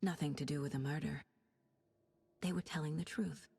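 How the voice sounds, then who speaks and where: Brooding low-voiced female investigator. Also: fairly even intonation, darkish tone, a little hiss or hum. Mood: focused, suspicious. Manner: brooding, deliberate, questioning. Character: intense, probing female investigator thinking through a murder case. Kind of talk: scripted inner-monologue narration.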